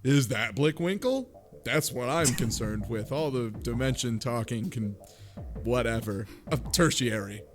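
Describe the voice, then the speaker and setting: A man's voice: mid-range voice, a little slow. A man co-hosting a podcast, giving opinions in a blunt, sweary, informal way. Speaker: podcast co-host. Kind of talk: casual opinionated discussion. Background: background music.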